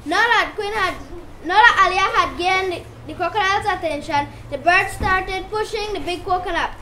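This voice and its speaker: A woman's voice: very high voice, moderate pace, bright, forceful tone. A female narrator reading a scripted story for an amateur puppet show. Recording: roomy, noisy live puppet show.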